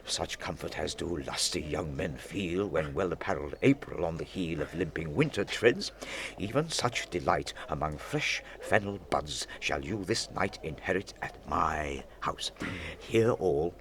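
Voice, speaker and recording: Old lord for Shakespearean audio drama: gracious, commanding father, declamatory, very varied pitch, deliberate pace. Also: animated, background noise, exaggerated voice. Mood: gracious, persuasive. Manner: measured, declamatory, verse-speaking. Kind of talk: classical Shakespearean audio drama acting in verse.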